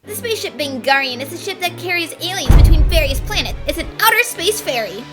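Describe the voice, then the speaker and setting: A woman voicing a conceited young male academic and amateur mystery writer in an animated fan dub, telling stories smugly and theatrically. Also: very high voice, brisk, over loud music. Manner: smug, theatrical storytelling.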